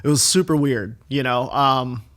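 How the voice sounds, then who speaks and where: Chef guy, 30s, rambles, tons of likes and ums, gets animated. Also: mid-range voice, moderate pace. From Chicago, USA. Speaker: guest chef. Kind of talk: casual interview chat.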